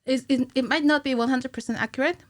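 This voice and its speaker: A woman in her thirties speaking as a podcast guest, explaining technical work conversationally and a little haltingly, thinking aloud into a studio microphone. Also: high voice, fairly even intonation, dry recording. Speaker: podcast guest, cinematic animator. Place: small indoor podcast studio. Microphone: studio microphone on a stand.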